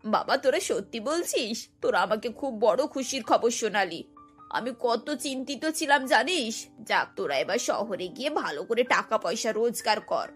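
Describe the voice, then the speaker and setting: A woman's voice: on the high side, expressive, normal speed, breathy edge, soft background music. A woman voicing a worried, wavering middle-aged mother-in-law in a cartoon, fretful and emotional. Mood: relieved. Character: worried, wavering mother of grown sons, easily swayed mother-in-law. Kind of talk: cartoon voice acting.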